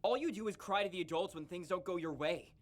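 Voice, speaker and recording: A man's voice: sits high, quick pace, lightly acted. A man playing an earnest, defensive, relentlessly upbeat boy in a visual novel, easily wounded.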